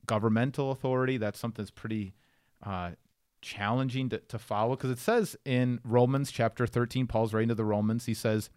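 A man's voice: medium pitch, clean tone, no echo, clean studio sound. A man co-hosting a podcast, talking casually and explaining things as he goes. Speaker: podcast co-host.

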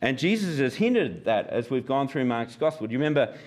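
Man, middle-aged, slightly croaky, senior minister, relaxed sermon with fillers. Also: medium pitch, fairly quick. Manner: relaxed, self-deprecating, with fillers.

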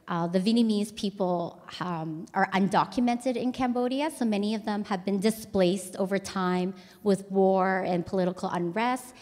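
Calm woman speaking in a reverberant hall. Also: mid-range voice. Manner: calm, explanatory, with fillers. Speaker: missionary speaker. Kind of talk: church presentation talk.

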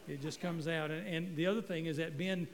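A middle-aged guest pastor from North Carolina, preaching in a folksy, joking way with stumbling restarts. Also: male voice, fairly high pitch, fairly even intonation, fairly quick, long reverb tail. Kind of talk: sermon. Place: church sanctuary. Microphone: handheld microphone.

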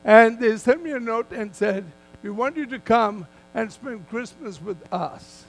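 An older man preaching a sermon as a guest speaker, in emphatic, deliberate phrases that he repeats for weight. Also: extremely high pitch, low-quality recording. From Malaysia.

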